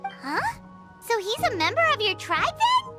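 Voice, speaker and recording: A woman voicing a tiny, excitable girlish fairy companion in a video game, childlike, curious and blunt. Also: measured pace, exaggerated voice. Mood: excited, puzzled. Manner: squeaky, exclaiming, childlike. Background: game music.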